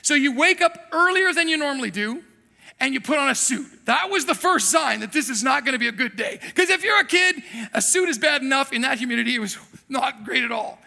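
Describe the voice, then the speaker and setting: A man preaching a sermon as a pastor, telling animated, self-deprecating stories from his childhood in Thailand. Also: very high voice, fairly quick, gravelly. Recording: long hall reverb.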